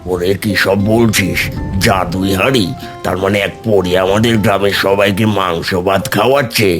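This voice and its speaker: A man's voice: deep voice, fairly even intonation, normal speed, soft background music, lightly acted. A man voicing a suspicious, greedy village uncle in a children's fairy tale cartoon, exclaiming and repeating his words. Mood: incredulous.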